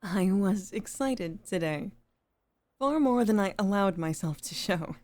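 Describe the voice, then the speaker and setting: A woman playing a composed, formal head maid as a devoted girlfriend in an intimate ASMR roleplay, speaking softly and often near a whisper. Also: medium pitch, expressive, lightly acted. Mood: earnest, vulnerable. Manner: soft, measured, formal, often dropping toward a whisper.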